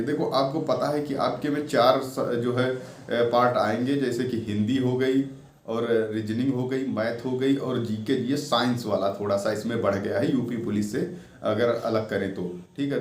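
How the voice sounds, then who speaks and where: A man's voice: medium pitch, darkish tone. A man giving informal exam-preparation advice as a tutor, repeating his points and often checking that listeners follow. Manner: repetitive, instructional, frequently checks in with a tag word. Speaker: exam coaching tutor and channel host. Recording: echoey room with faint background noise.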